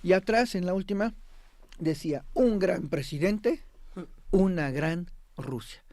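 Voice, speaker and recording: A man with a slightly high voice, recorded close and dry in a studio. He gives expert commentary in an explanatory, emphatic way and often checks that he is being followed. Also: measured pace, a little mellow, no room sound. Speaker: expert guest. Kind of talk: interview commentary on politics.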